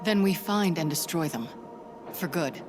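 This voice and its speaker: A woman plays a composed, resolute spy in a video game. Her voice is low for a woman and she keeps it cool and matter-of-fact. Music plays clearly behind her. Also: unhurried, lightly acted. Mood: focused, resolute. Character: composed, resolute female spy, warm toward her old lover. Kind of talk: cinematic video game acting.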